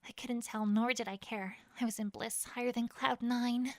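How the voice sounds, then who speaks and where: A woman's voice: fairly high pitch, fairly even intonation, moderate pace, no room sound, studio quality. A woman reading a first-person fantasy story as a dramatic narration. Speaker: fan fiction reader.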